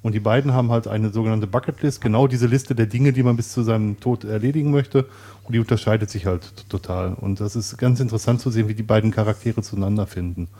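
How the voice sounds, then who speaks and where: A man's voice: lowish voice, quick pace, dark tone. A man co-hosting an interview podcast, talking eagerly and repeating words as he searches for them. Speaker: podcast co-host.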